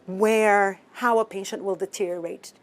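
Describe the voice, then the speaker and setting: A woman speaks as a medical expert being interviewed for the news. Her voice is of average pitch. She explains in full, plain statements. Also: steady tone, moderate pace.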